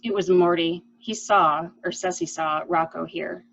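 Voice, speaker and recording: A woman's voice: fairly low pitch, steady tone, measured pace, vocal fry, fairly bright tone, dry recording, soft background music, heavily acted, poor audio. A woman playing an anxious, pleading lady, earnest and melodramatic, in an amateur murder mystery play. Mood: troubled. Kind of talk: amateur radio-play acting.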